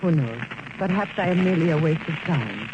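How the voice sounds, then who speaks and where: An actress playing a melodramatic, coy Mexican femme fatale with a Spanish accent in 1940s radio drama style. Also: female voice, low voice, lively intonation, a little fast. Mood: coy, guarded. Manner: coy, theatrical, formal phrasing. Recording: dull, noisy old-time radio recording.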